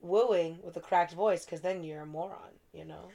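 A woman's voice: lowish voice, fairly even intonation, moderate pace, creaky, a crowd in the background. Laughing young woman podcast co-host. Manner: laughs often, swears casually. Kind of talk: casual chat.

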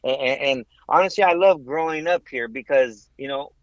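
A man in his thirties from Southern California, a podcast guest telling his faith story in a halting way, with many ums and restarts. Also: mid-range voice, no echo, dull audio. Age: 30s. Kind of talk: casual faith testimony.

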